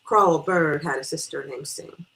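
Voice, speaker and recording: A woman voicing a reserved, mildly prim small-town woman with a measured, firm manner in a storybook reading. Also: low-pitched, vocal fry, fairly bright tone, rough recording. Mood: matter-of-fact.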